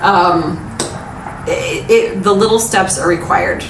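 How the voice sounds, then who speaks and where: A woman's voice: medium pitch, takes it slowly. Chatty woman doing a tarot reading, roomy echo. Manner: chatty, frank, encouraging, with fillers and swearing. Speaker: tarot reader. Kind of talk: casual pick-a-card tarot reading. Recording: echoey room, mic some distance away, background noise.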